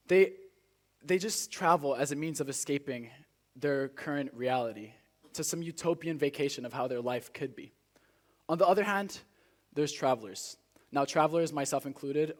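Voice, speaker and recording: A teenage man raised in Spain delivers a rehearsed speech to a live audience as a student contestant, with earnest, deliberate, rhetorical phrasing. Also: a little high. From Spain, born in South Africa. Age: teenager, high school student.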